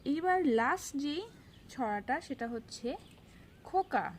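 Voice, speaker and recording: A woman's voice: high voice, animated, slow pace, slight background noise. A woman presenting and reciting nursery rhymes in a sing-song way, speaking directly to small children. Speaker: host of a children's rhyme channel.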